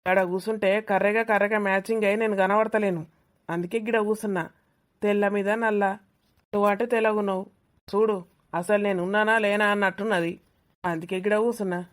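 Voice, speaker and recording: A woman's voice: medium pitch, fairly even intonation, quick pace, natural voice. A woman voicing a cheeky, boastful young male student in a cartoon village comedy, teasing in colloquial speech with a Telangana accent.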